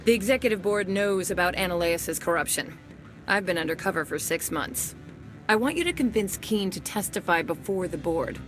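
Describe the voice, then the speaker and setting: A woman playing an undercover internal affairs agent in a video game, brisk and matter-of-fact with a dryly sardonic edge. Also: on the low side, expressive, faint music behind, natural voice. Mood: businesslike. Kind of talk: video game dialogue acting.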